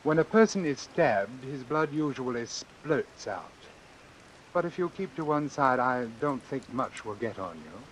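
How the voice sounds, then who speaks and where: An actor playing an anxious, doomed man in a vintage radio suspense drama, speaking in a hesitant, measured way. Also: mid-range voice, big pitch swings, a little hiss or hum, rough recording. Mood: grim, controlled. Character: anxious doomed man who arranged his own killing. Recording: vintage radio recording with music and rain. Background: music and rain.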